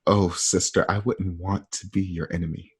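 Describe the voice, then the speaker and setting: A man playing a vexed, insecure young Roman emperor in an amateur radio drama, theatrical and halting. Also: deep voice, steady tone, close to an everyday voice. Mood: quietly menacing.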